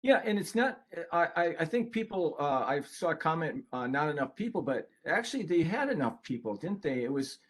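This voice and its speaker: A man's voice: a little high, sing-song, a little fast, few pauses, breathy edge. Man presenting in an informal webinar. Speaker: co-presenter and book co-author.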